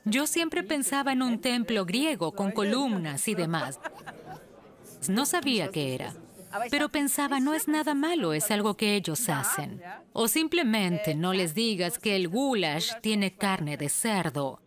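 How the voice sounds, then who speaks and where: A woman voicing a documentary interviewee's recollections in a measured, explanatory, conversational way. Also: medium pitch, sing-song, a crowd in the background. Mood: fond recollection. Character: woman interviewee recalling her childhood, documentary dub.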